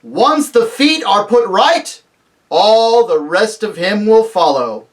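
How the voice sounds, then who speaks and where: A reader voicing a majestic, jovial male lion leader, loud, measured and commanding, in a storybook. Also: medium pitch, wildly swooping pitch. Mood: joyous.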